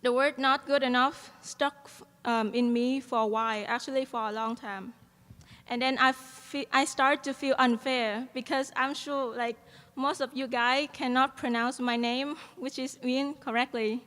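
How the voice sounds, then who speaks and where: A woman's voice: high voice, fairly even intonation, measured pace, a bit bright. A young woman from Vietnam with a Vietnamese accent, giving an earnest graduation speech at a podium mic with many "um" fillers. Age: young adult. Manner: earnest, with frequent "um" fillers and light laughs. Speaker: student speaker at a graduation. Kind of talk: commencement speech. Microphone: podium microphone. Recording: long hall reverb.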